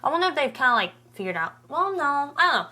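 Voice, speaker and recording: A woman's voice: sits high, big pitch swings, fast talker, bright, forceful tone. Woman co-hosting a casual podcast chat. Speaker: podcast co-host. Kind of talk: casual chat reviewing a web horror series.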